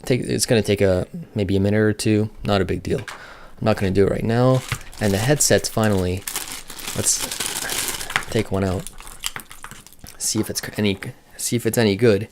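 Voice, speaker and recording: A man's voice: fairly low pitch, steady tone, moderate pace, background noise. A man giving a casual, unscripted product demonstration, thinking aloud in a matter-of-fact way as a reviewer.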